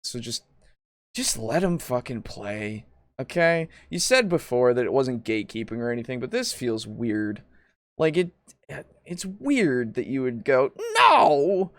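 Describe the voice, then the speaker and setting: A man hosting a podcast in casual group discussion, animated and swearing freely. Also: medium pitch, unhurried. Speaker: podcast host.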